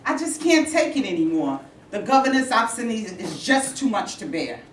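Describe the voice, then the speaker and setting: A woman's voice: fairly high pitch, very animated, normal speed. A woman in a staged reading playing an impatient, exasperated civil-rights reverend. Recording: echoing room, distant mic, noise.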